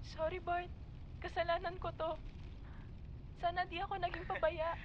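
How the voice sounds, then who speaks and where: A woman acts a young female apocalypse survivor, emotional and determined. Her pitch is high and her manner pleading, as in a horror melodrama. The recording is muffled and noisy. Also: level tone, moderate pace. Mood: grieving. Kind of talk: melodramatic horror film acting. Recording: dull, noisy, rumbling recording.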